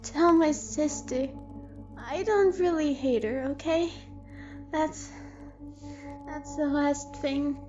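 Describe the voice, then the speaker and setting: A woman playing a friendly, excitable young monster boy who talks in boyish slang, in an audio drama. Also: high voice, slow, pauses often, highs cut off, over music. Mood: weak, dying. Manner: slangy, boyish, says dude and yo.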